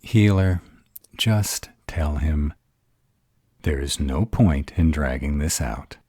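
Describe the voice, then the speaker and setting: Man acting as arrogant vampire Ravenoff, extremely deep. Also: slow, dark tone, no echo, clean studio sound, heavily acted. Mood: commanding. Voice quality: very deep, husky. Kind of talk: dramatic audio roleplay acting.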